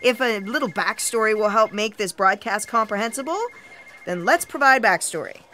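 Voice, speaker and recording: A woman playing an imperious, impatient editor of destiny, brisk and officious, in comic sci-fi audio theatre. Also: mid-range voice, sharp tone, soft background music, lightly acted.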